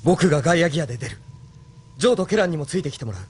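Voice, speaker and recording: A man's voice: on the high side, expressive, racing, natural voice. An actor playing a resolute young pilot who leads his crew in an audio drama. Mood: determined. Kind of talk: Japanese audio drama acting. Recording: faint ambient noise.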